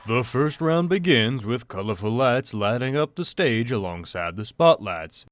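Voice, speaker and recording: A man's voice: medium pitch, animated, unhurried, a little hiss or hum. A man reading scripted scene narration for an audio drama.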